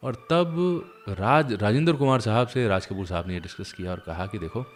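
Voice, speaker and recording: A man's voice: fairly low pitch, brisk. A man hosting a show, telling anecdotes in a conversational, dramatic storytelling way. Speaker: radio host. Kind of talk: conversational storytelling about old cinema.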